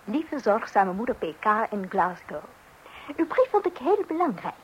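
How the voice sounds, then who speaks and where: An actress playing a brisk magazine advice columnist in a Dutch radio play. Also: female voice, on the high side, big pitch swings, highs cut off, heavy background noise. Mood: brisk, reassuring.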